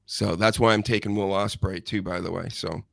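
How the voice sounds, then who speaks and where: A man's voice: deep voice, fairly even intonation, brisk, creaky, fairly bright tone, no echo, clean studio sound. An American man hosting a casual wrestling podcast, joking and rambling, often laughing. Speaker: podcast host.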